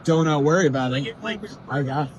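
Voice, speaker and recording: A man's voice: a little high, fairly even intonation, moderate pace, lo-fi sound, close to an everyday voice. A man voicing a laid-back male general manager in a comedy promo, with hesitant replies. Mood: relaxed.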